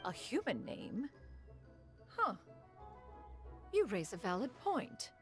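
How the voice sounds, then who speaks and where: A woman's voice: medium pitch, wildly swooping pitch, slow, a bit bright, soft background music, full character voice. A woman voicing a proud, ancient female bird spirit who speaks in a lofty, archaic way and calls herself "one". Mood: haughty. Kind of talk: video game voice acting.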